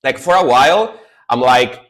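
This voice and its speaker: A man's voice: mid-range voice, lively intonation, moderate pace, a bit bright. A man in his thirties with an Italian accent, a native Italian speaker, chatting casually as a livestream host in a rambling way, often repeating his phrases. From Italy.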